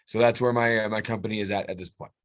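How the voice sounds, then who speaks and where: A man's voice: lowish voice, fairly even intonation, quick pace. A middle-aged man from Canada, a client talking casually on a video call, hesitant, with many restarts and fillers.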